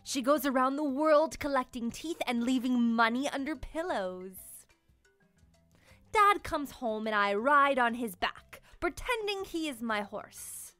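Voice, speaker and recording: Young female children's storyteller, high voice, expressive and playful delivery, light music underneath. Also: unhurried, no echo. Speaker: storyteller and children's channel host. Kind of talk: animated children's book read-aloud. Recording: music behind the voice.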